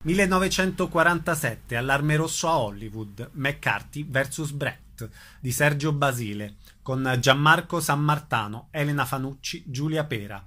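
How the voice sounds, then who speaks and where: A man's voice: mid-range voice, a little slow, pushed and bright. A man reading out a scripted announcement as a radio announcer.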